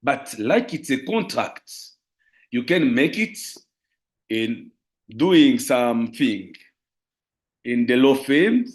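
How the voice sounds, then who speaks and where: A man from the DR Congo with a Congolese French accent, giving a formal presentation as a lawyer and teacher in careful, halting non-native English. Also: mid-range voice, sing-song. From Democratic Republic of the Congo. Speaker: lawyer and university teacher, guest speaker.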